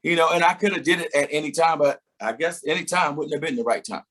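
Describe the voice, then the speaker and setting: A man's voice: fairly high pitch, fast talker, fairly bright tone. A middle-aged man, a singer, talking reflectively in a casual interview, often laughing and repeating words as he starts a thought.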